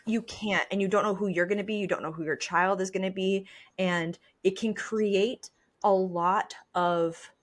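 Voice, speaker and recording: A woman's voice: mid-range voice, unhurried. A woman in her thirties co-hosting a podcast, chatting informally and candidly with a self-deprecating way of talking. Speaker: podcast co-host. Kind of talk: casual chat.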